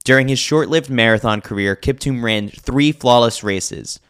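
A man's voice: medium pitch, moderate pace, fairly bright tone, clean tone. A man hosting a podcast, reading a scripted newsletter as narration.

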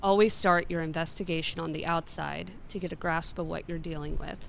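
An actress as a bold female ghost hunter in a radio play, with a gravelly fry in the voice. She speaks with commanding self-assurance, over some background noise. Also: sits low, fairly even intonation, a little fast. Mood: instructive, calm. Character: bold, unflinching woman paranormal investigator.

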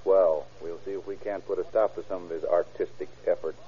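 An actor playing an insistent, dry police detective in an old radio crime drama. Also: male voice, low voice, steady tone, fairly quick, natural voice. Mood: businesslike, wry. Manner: insistent, wry, businesslike. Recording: muffled lo-fi old radio recording.

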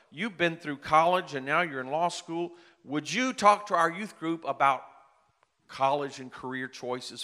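Man in his late fifties, preacher, bright forceful tone, somewhat high voice, long hall reverb. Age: 50s. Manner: earnest, rising to emphatic peaks. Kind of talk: sermon with personal testimony.

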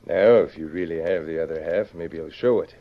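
An actor playing a sly, gloating murderer in an old radio western, smug, distrustful and taunting. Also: male voice, sits low, brisk. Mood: sly, wary. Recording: muffled, lightly noisy vintage radio recording.

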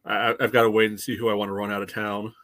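A man's voice: on the low side, flat delivery, very fast, fairly bright tone. A man chatting casually as a sports fan on a podcast, wry and blunt, often saying "you know".

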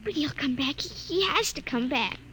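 A woman's voice: sits high, animated, moderate pace, pushed and bright, slight background noise. An actress playing a frightened young girl in a vintage radio drama, tearful and pleading. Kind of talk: 1950s radio drama acting. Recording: vintage radio recording with music behind.

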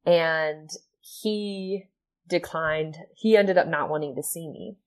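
Low-voiced earnest woman sharing her story. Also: slow. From Ohio. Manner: earnest, soft-spoken, many "like" and "you know" fillers. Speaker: podcast guest, yoga and sound healing teacher. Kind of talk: reflective personal storytelling.

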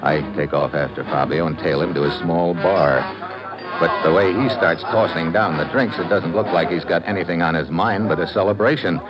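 An actor playing a tough, wry detective in an old-time radio drama, with a hardboiled, slangy delivery. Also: male voice, deep voice, normal speed, over loud music, natural voice. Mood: dry, narrating. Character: tough, wry male American detective narrating his case. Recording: noisy, muffled vintage radio recording.

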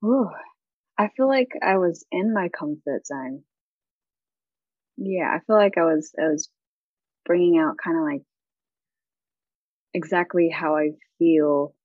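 Bubbly aussie girl rambling thru an interview, low-ish voice, dry dull lo-fi sound. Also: dry recording. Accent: Australian. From Australia. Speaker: singer.

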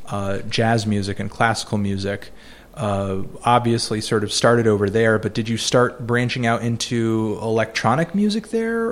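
A male podcast host asks his questions in a hesitant, roundabout way, circling back with repeats and fillers before landing. His pitch sits in the ordinary middle range for a man. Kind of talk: casual interview conversation.

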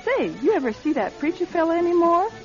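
An actress playing a folksy, chatty diner waitress in a radio drama. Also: female voice, very high-pitched, big pitch swings, quick pace. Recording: dull radio recording, music behind.

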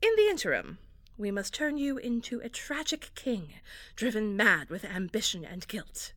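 A stern theater director in a fiction podcast. She is a formal, exacting woman who speaks in clipped, precise sentences at a middling pitch. The sound is dry, with very little room. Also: sing-song, normal speed, a bit bright, no echo. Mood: stern, demanding. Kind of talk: audio fiction acting.

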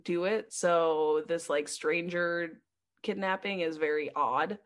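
A woman's voice: on the low side, fairly even intonation, slow pace. A woman co-hosting a podcast in a playful, teasing chat, laughing often as she tells a true-crime story. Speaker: podcast co-host.

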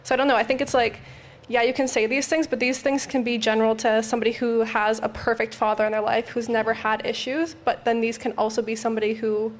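A woman talks at a rapid clip on a podcast panel, her voice fairly high. She rambles casually and fills her sentences with "like.". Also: little pitch movement. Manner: chatty, rambling, uses "like" a lot.

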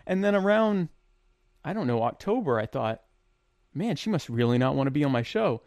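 A man's voice: fairly high pitch, very animated, moderate pace, a little mellow, no room sound, clean studio sound. A man hosting an online talk show, chatting casually in an easygoing, self-deprecating way with fillers. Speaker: show host. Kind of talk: casual conversation.

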